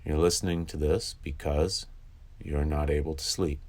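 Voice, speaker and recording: A man leading a guided sleep meditation, calm and instructive, with long pauses between phrases. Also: very deep voice.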